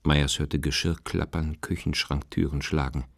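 Very deep, gravelly male narrator. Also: little pitch movement, moderate pace, no echo, studio quality. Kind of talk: scripted literary narration for a radio play. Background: plucked guitar music.

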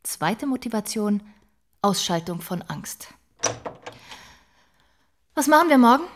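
An actress playing a brisk, probing journalist in a radio drama, direct and questioning with wry confidence. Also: female voice, on the high side, expressive, slight background noise.

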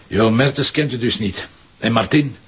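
A man's voice: mid-range voice, normal speed, fairly bright tone, close to an everyday voice. A man with a Flemish accent playing a dogged, sardonic police detective in a radio crime drama, with a dry, provocative manner. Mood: dogged, pointed. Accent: Flemish Belgian. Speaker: voice actor in a detective serial. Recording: dull, faintly noisy radio recording.

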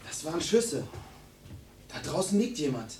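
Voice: mid-range voice, very animated, normal speed, echoey room, recorded from a distance, very noisy, lightly acted. An actor playing a headstrong, defiant seventeen-year-old boy, brash and insistent, in a radio drama. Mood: urgent.

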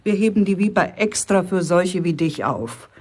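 A woman's voice: fairly low pitch, expressive, moderate pace, darkish tone, roomy sound, close to an everyday voice. A woman acting a stern, bossy village pub landlady and impatient mother in a German radio play. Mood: firm, dismissive.

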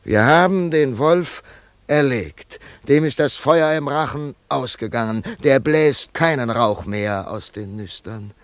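An actor playing a wealthy, grieving older father in a German radio play, brooding and yearning in a halting monologue. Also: mid-range voice, expressive, no echo. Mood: wistful, brooding. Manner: slow, halting, brooding delivery with sudden swells.

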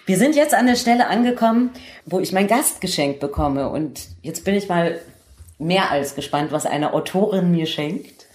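A woman's voice: lowish voice, animated, moderate pace, darkish tone. A woman speaking as a radio show host in a conversational interview.

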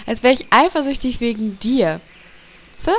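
An actress playing a catty, vain, jealous young heroine in a parody audio drama, snippy and exaggerated. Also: female voice, a little high, lively intonation, unhurried, a little hiss or hum.

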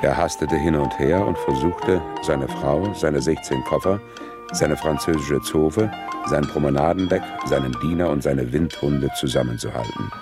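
A man delivering scripted radio drama narration in a deadpan manner. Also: a bass voice, normal speed. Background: music.

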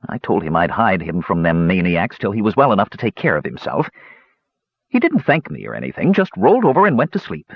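A man's voice: deep voice, wildly swooping pitch, fairly quick, breathy edge, dull audio, full character voice. A man doing a swooping, grumpy old dwarf hermit. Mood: rambling, folksy. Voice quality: coarse, growling. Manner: folksy, rustic, ungrammatical speech. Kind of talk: fantasy audiobook character voice.

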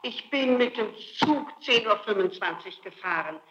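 A woman's voice: fairly high pitch, lively intonation, normal speed, a bit bright, reverberant, highs cut off, slight background noise. A woman under interrogation, defensively insisting on her account.

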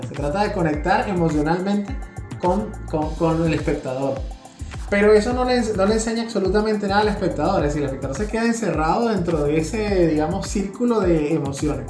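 A man's voice: sits high, expressive, quick pace. Venezuelan guy chatting on a podcast, explains stuff, roomy sound, some music in the back. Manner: explanatory, often checks with "¿no?". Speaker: podcast co-host. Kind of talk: casual conversational discussion. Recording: roomy echo with music behind.

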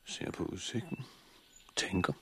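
An actor in a 1960s radio drama playing a brooding, stubborn husband and farm leader, terse and understated. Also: male voice, a bit bright, slight background noise, close to an everyday voice.

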